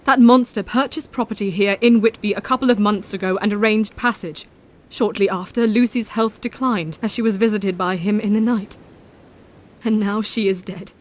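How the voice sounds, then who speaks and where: An actress playing a resolute young Victorian wife in an audio drama, speaking earnestly in precise, formal period language. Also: female voice, mid-range voice, steady tone, moderate pace, natural voice. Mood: earnest, grim.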